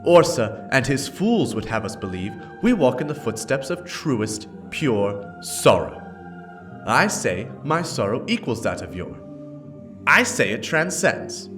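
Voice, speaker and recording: A man's voice: medium pitch, very animated, a little slow, a bit bright, faint music behind, pitched above the performer's own voice. A man as a fervent villainous zealot, wildly emphatic. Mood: fervent, defiant. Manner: fervent, declamatory. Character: bitter zealot villain renouncing his past, leader of outcasts. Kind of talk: dramatic reading of video game lore.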